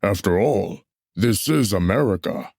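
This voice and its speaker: A man's voice: low-pitched, lively intonation, measured pace, vocal fry, a little mellow, breathy edge, dry recording, clean studio sound, exaggerated voice. A man playing a brash, smugly patriotic character in a theatrical puppet show, with a blustering, cocksure manner. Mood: dismissive, smug. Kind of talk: theatrical puppet musical acting.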